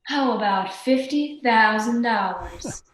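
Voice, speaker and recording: A woman playing a tough, sharp-tongued femme fatale in an old-time radio detective play, curt and sardonic. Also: fairly high pitch, expressive, slow pace. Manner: curt, hard-boiled, sardonic. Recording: echoey room sound.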